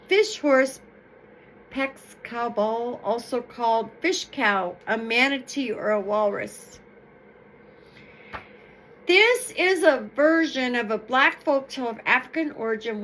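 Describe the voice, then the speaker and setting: A woman reading stories aloud in an expressive, emphatic, explanatory way. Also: fairly high pitch, slight background noise, poor audio. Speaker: storyteller reading folktales aloud. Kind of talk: expressive read-aloud storytelling with informal explanatory asides.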